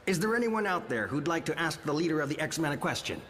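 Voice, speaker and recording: A big reverberant hall and a quick, high male voice. A man plays a gushing, formal event host in a motion comic. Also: very animated, fairly bright tone. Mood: effusive. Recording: long hall reverb, faint rumble.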